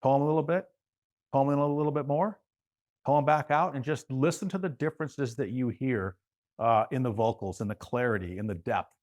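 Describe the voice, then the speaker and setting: Man chatting loosely, mid-pitched voice. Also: brisk, a little mellow. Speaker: guest audio expert. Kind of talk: casual chat giving practical advice.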